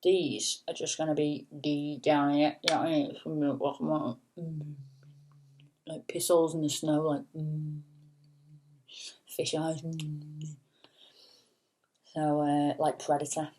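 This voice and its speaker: A woman and singer with a soft, low voice, rambling casually in a late-night vlog with lots of fillers and the odd swear word. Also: little pitch movement, slow, long pauses. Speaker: singer and vlogger.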